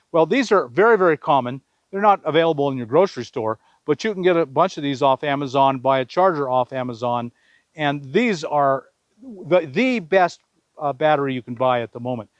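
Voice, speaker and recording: Higher-voiced man giving a casual product review. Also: no room sound. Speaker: video host reviewing products.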